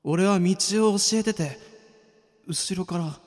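A man's voice: high-pitched, takes it slowly, noisy background. A man playing an earnest young secret agent in a radio drama, with dramatic delivery and inner monologue. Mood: confused, groggy. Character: earnest young male secret agent, newly trained, defiant.

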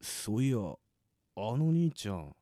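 A man playing a hearty, rough-spoken drinker at a festival in a radio drama, familiar and folksy. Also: medium pitch, sing-song, unhurried, mellow, no room sound, clean studio sound. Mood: knowing, easygoing.

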